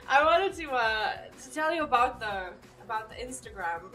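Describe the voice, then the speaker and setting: Giggly high-voiced woman streamer from Serbia. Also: a bit bright, far from the mic. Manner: chatty, giggly, talks to her dog and chat. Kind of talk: casual live-stream chat while gaming. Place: home streaming room.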